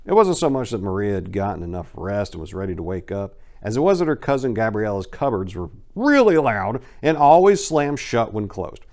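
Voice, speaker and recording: A man's voice: fairly low pitch, very animated, fairly quick, few pauses, a little mellow. A man narrating a crime short story as a dramatic reading, with theatrical, emphatic delivery.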